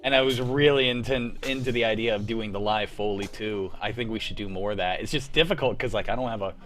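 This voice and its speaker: A man's voice: on the low side, fast, fairly bright tone, soft background music. A young man hosting a casual after-show chat on a video call, rambling with lots of fillers. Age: young adult. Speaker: host of a live radio-play reading show. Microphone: home mic on a video call.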